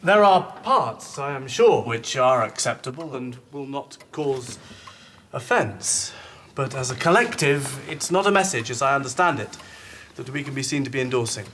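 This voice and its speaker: A man's voice: mid-range voice, expressive, moderate pace, a bit bright, a little hiss or hum, close to an everyday voice. An actor playing a cautious, diplomatic official who speaks politely and formally, choosing his words, in a period drama. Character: cautious, diplomatic male official weighing publication.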